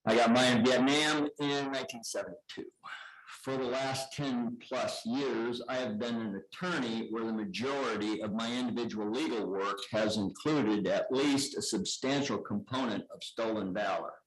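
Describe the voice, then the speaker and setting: An older man reading formally and deliberately at a public meeting, occasionally stumbling over the written words. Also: mid-range voice, poor audio. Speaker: veteran representative at public comment. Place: indoor public board meeting room.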